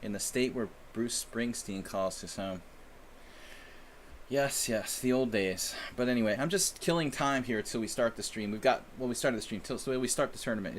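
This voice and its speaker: A man's voice: mid-range voice, a bit bright, a little hiss or hum. Chatty American man streaming chess. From United States. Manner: chatty, rambling, self-deprecating. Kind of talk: casual live-stream chat.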